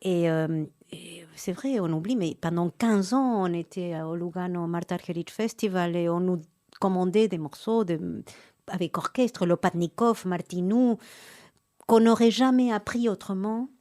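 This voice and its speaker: Woman from Argentina, Spanish native, middle-aged, mellow, lowish pitch, hesitant, many restarts. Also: a little slow. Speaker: pianist and actress, interview guest. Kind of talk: relaxed conversational interview.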